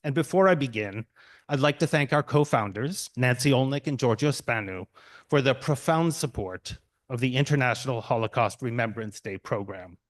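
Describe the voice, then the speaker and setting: Man reading a formal introduction. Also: mid-range voice. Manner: measured, formal delivery. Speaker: event host. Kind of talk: formal introduction read aloud.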